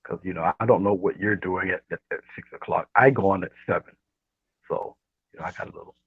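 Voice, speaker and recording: A man with a low, husky voice giving a casual painting demonstration, speaking softly and often trailing off into a murmur. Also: steady tone, fast. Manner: soft, murmuring, trails off near whisper. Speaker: guest painting instructor. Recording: dull, roomy livestream audio.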